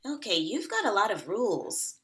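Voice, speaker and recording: A woman reads a blunt thirty-something woman with a deadpan edge. Her voice is bright and clear, delivering each put-down flatly. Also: mid-range voice, normal speed. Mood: dry, blunt. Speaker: actor playing Megan. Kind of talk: table read of a comedy pilot.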